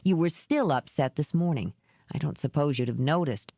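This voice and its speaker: A woman voicing a gruff, remorseful male ex-cop in an audiobook, speaking low, slow and measured. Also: expressive, warm tone, dry recording. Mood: probing. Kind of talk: audiobook character reading.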